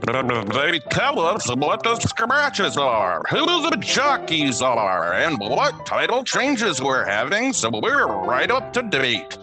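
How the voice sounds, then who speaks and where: A man's voice: fairly high pitch, wildly swooping pitch, slow pace, hardly any pauses, exaggerated voice, poor audio. A man playing an enthusiastic betting customer in a comedic radio ad, recorded with music underneath. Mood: enthused. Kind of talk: comedic radio advertisement testimonial.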